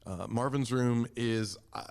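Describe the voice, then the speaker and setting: A man's voice: medium pitch, measured pace, darkish tone. A man talking conversationally as an interview guest about his own experiences. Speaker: community theater member, podcast guest.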